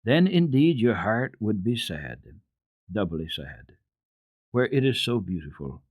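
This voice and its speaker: A sad, thoughtful storybook doctor, played by a man reading aloud, grave and kindly in manner. His voice is on the low side and husky, close and dry in the recording. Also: very animated, slow pace, darkish tone, no room sound, full character voice. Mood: grave, moved.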